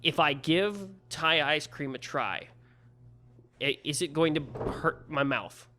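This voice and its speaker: A man's voice: a little high, takes it slowly, noisy background. A male podcast host with a Midwestern American accent, chatting casually and joking at his own expense while interviewing a guest. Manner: chatty, joking, self-deprecating.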